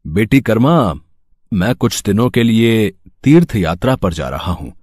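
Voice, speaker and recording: A man's voice: lowish voice, animated, a little slow, darkish tone. A man playing a devout, affectionate father in a devotional story, speaking in an earnest, reverent way. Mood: gentle, instructing. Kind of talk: Hindi devotional story acting.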